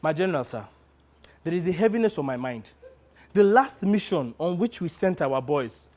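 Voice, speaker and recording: A man's voice: on the high side, very animated, normal speed, darkish tone. Man playing an earnest, troubled rebel lieutenant. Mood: troubled, respectful. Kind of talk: dramatised storytelling.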